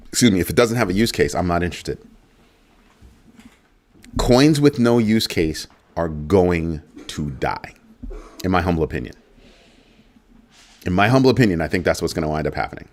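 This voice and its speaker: A man's voice: lively intonation, moderate pace, pauses often. A middle-aged man from the United States hosting a crypto livestream, talking casually to his viewers and repeating phrases with playful asides. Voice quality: deep voice. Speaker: crypto show host.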